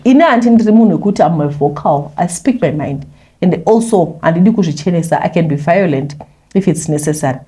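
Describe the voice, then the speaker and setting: Zimbabwean lady reading out a confession story, talks quick, warm dark tone, chatty with bits of english mixed in. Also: fairly low pitch. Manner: conversational, mixes in English phrases. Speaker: YouTuber reading a listener's confession. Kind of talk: first-person confessional storytelling.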